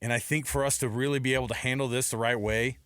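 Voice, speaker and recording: A man's voice: mid-range voice, fairly even intonation, fast talker, fairly bright tone, clear, resonant tone, no echo, studio quality. Emphatic man talking sports on radio. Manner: emphatic, rhetorical questions, repeats points. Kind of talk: opinionated sports talk.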